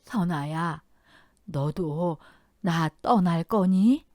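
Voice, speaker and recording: A woman's voice: lowish voice, extremely slow, darkish tone, dry recording, studio quality. A woman voicing a grieving elderly mother-in-law who speaks in a trembling, breathless voice. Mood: trembling plea. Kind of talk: audio drama storytelling.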